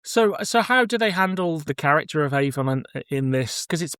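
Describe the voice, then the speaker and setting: A man's voice: fairly high pitch, lively intonation, normal speed, fairly bright tone, no echo, studio quality. A man hosting a casual podcast chat, speaking bluntly with swearing and acting out imagined thoughts. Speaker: podcast host. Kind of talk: casual podcast chat about cult sci-fi.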